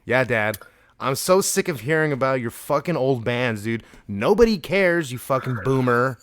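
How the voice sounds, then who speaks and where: Comedy podcast host, man, mid pitch, dry mic, excitable and crude. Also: normal speed, dry recording. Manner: loud, excitable, swears, laughs often. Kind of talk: improvised comedy sketch chat.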